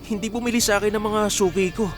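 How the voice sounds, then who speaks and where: Man acting a nervous, high-voiced henchman. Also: fairly even intonation, fast, over music, lightly acted. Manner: stammering, deferential. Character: submissive, nervous henchman selling illegal explosives. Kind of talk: radio drama acting.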